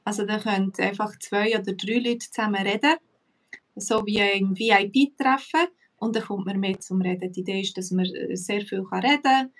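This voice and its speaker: A woman's voice: mid-range voice, moderate pace. Brief: woman, Swiss German accent, easygoing teacher-host, chatty with fillers, sounding a bit distant from the mic. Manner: relaxed, chatty, many fillers, laughs often. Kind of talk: casual live chat.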